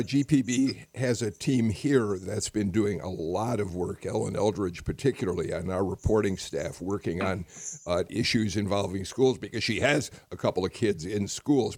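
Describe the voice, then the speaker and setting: An older man with a weak, hoarse voice from a chest cold, hosting a public radio panel and speaking with frequent hesitations. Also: lowish voice, a little mellow, no echo. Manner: hesitant, many restarts and fillers. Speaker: radio show host.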